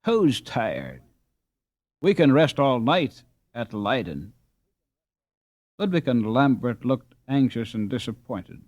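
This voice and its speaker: Male narrator for a children's classic audiobook: medium pitch, lively intonation, expressive scripted reading. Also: dull audio.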